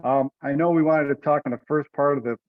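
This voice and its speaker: A man's voice: a little high, steady tone, fast, no echo. Colloquial man chairing, dull call audio. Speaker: meeting chair. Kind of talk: informal online meeting talk. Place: online video conference call.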